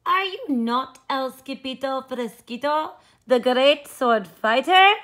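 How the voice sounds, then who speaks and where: Woman as loud, chanting little chihuahuas. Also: high voice, expressive, slow, voice pushed up, full character voice. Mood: challenging. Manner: rhythmic, singsong, emphatic. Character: troupe of playful little chihuahua dogs chanting.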